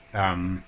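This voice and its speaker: Measured man explaining languages. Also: deep voice, a little hiss or hum. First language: Finnish. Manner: measured, hesitant with pauses, often clears throat. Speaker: language channel host. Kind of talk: educational talk on languages.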